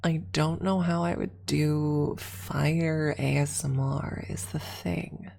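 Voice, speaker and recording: Low, breathy, slow-talking woman streamer. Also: fairly even intonation. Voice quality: soft, breathy, often near a whisper. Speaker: ASMR streamer, host. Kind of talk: casual ASMR livestream chat with viewers. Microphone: close ASMR microphone.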